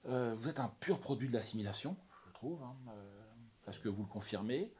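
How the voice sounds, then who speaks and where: A man's voice: mid-range voice, expressive, fairly quick, a little mellow, a little hiss or hum. A man hosting an interview, asking careful questions with hesitant fillers. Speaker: interviewer, host.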